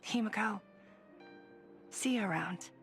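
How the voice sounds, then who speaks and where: A woman's voice: mid-range voice, a little slow, faint music behind, natural voice. A woman voicing a sweet, earnest, slightly hesitant young student girl in an anime game. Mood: gentle, encouraging.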